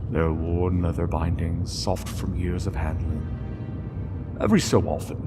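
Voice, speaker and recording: A low-voiced man narrates a solemn story at an unhurried pace. He pauses between phrases in a measured, dramatic delivery. Long reverb surrounds the voice. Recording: long reverb, music underneath. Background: music.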